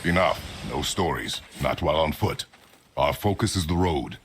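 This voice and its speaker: An actor playing a gruff, impatient middle-aged warrior in a video game, gravelly and terse, giving out commands. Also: male voice, very deep voice, animated, moderate pace. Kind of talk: video game character dialogue. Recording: clear background noise under game dialogue.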